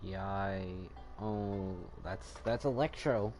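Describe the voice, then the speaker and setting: A man's voice: sits low, drawn-out words, darkish tone, faint music behind. A man giving casual commentary as he plays a video game. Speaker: gamer playing and reacting.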